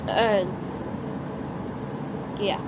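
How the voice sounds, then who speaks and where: A teenage girl with a stuffy nose and sore throat, rambling unscripted in a casual vlog, filled with "like", filmed outdoors on a phone. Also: extremely slow. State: congested, sniffly, sore throat. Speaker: vlogger. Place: outdoors next to a lake, during a lightning storm. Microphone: phone camera. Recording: outdoor phone recording with background noise. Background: outdoor background noise, thunder.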